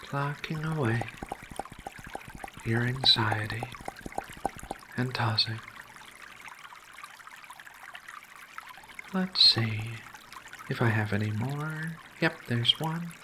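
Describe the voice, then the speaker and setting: A performer voicing a gentle, affectionate furry female alien researcher in an ASMR roleplay, soft-spoken and soothing, with unhurried pauses. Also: mid-range voice, noisy background, close to an everyday voice.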